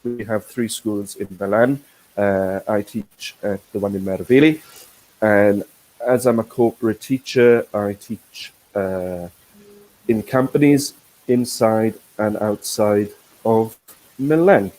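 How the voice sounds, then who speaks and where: Slow-speaking Welsh-born male teacher. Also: fairly low pitch, a little mellow, poor audio. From Wales. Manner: slow and careful, many fillers, repeats words. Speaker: corporate English teacher, webinar host. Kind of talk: teaching webinar, explaining words.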